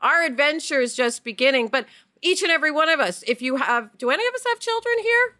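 A woman's voice: sits high, animated, quick pace, bright, forceful tone. A middle-aged woman hosting a podcast, chatting reflectively and emphatically with her co-hosts. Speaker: podcast host. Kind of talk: casual reflective podcast chat.

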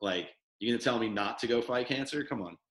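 A man's voice: mid-range voice, quick pace, a bit bright, reverberant. A man hosting a casual podcast, talking loosely with lots of fillers and restarts. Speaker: podcast host. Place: studio headquarters room.

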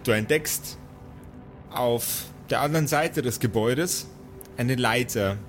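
A man runs an improvised pen and paper game. He narrates in an average-pitched voice with a playful showman's delivery. Soft music sits behind him. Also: slow pace. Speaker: game master. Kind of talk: improvised tabletop role-play narration. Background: faint background music.

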